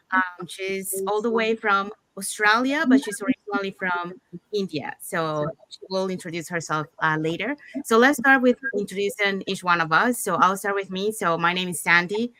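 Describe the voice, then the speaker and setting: A woman's voice: mid-range voice, pushed and bright, no echo, poor audio. A woman from Panama hosting an online talk show, introducing guests in a loose, chatty way with fillers. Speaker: host.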